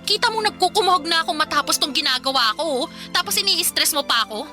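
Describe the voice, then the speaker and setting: A woman's voice: high-pitched, expressive, quick pace, sharp tone, faint music behind. An actress playing an irritable, work-obsessed young Filipina career woman in a radio drama, snappy, sarcastic and scolding. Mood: irritated, sarcastic.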